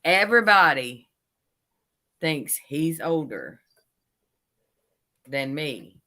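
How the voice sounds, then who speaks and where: A woman's voice: deep voice, very animated, very slow, sharp tone. A woman nearly fifty chatting casually, giggling and repeating herself. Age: 40s. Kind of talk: casual chat.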